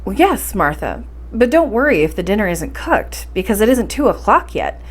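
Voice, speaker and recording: A woman's voice: mid-range voice, big pitch swings, normal speed. A woman voicing a mild, undecided young nephew in a storybook, talking in a plain, reassuring way. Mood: mild reassurance.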